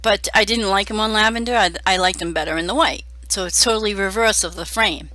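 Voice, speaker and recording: A middle-aged woman, hoarse from a cold, chatting casually through a card-making voice-over with many fillers. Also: medium pitch, fairly quick, sharp tone, poor audio.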